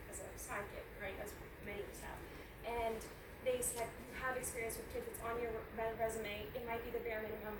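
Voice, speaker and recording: A young woman, a native Portuguese speaker, talking earnestly to an audience as a panel speaker, often restarting her words with many fillers. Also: on the high side. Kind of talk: personal talk to an audience. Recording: roomy echo, distant mic, loud background hiss.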